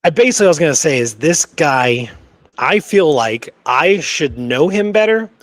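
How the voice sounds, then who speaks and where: A man's voice: on the high side, lively intonation, normal speed, dry recording. A man co-hosting a podcast in casual conversation, talking in an enthusiastic, rambling way. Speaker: podcast co-host. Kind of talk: casual chat.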